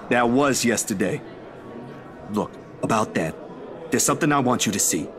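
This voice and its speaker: A man voicing a caring young man who gently scolds his friend in a visual novel game. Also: medium pitch, animated, moderate pace, music in the background, lightly acted. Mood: serious.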